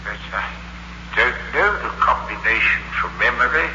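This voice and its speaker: An actor playing a blind, indignant old man with a halting, dramatic delivery in a 1960s radio suspense play. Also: mid-range voice, lively intonation, pushed and bright, slight background noise. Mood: protesting. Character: blind, defiant wealthy old uncle. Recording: echoey, muffled old radio recording.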